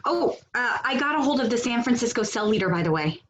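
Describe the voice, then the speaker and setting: Woman playing blunt, higher-voiced protest leader. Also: animated, fast, slight background noise, lightly acted. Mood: businesslike. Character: blunt, sardonic female leader of a protest cell. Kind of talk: comedic stage acting over video call. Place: at home on a video call.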